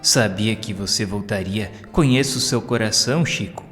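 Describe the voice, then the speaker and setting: An earnest, devout village woman , read by a man speaks politely in a Brazilian accent. The storybook voice is low, with long reverb and music behind it. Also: normal speed, a bit bright, natural voice. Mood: grateful.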